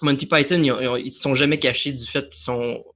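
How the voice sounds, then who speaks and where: A man's voice: medium pitch, steady tone, quick pace, fairly bright tone. A young man with a Québécois accent talking casually about a film, rambling with many fillers and restarts. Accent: Québécois French. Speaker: podcast guest. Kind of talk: casual chat about films.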